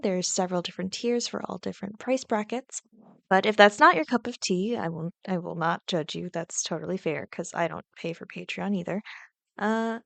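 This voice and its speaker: A woman's voice: medium pitch, vocal fry, no echo. A woman chatting casually to her viewers in a gushing, playful, rambling way. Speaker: YouTube ASMR voice actor.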